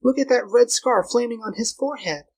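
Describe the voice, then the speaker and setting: A man's voice: very high-pitched, a little fast, a little mellow, slightly rough, pitched above the performer's own voice. A man voicing a frightened, scheming young woman in a storybook reading, urgent and pleading. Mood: fearful, insistent.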